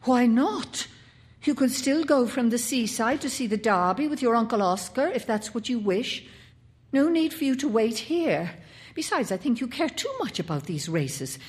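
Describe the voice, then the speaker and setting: A woman's voice: mid-range voice, expressive, moderate pace, close to an everyday voice. Fretful, admonishing mother as a woman reads her. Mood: stern, opposed. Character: anxious, domineering, weary well-off English mother.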